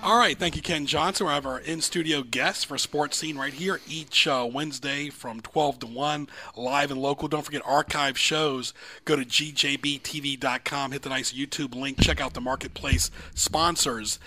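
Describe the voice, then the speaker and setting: A man hosting a local sports talk radio show in the studio, briskly plugging websites and sponsors between questions. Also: mid-range voice, steady tone, bright, forceful tone, slight background noise.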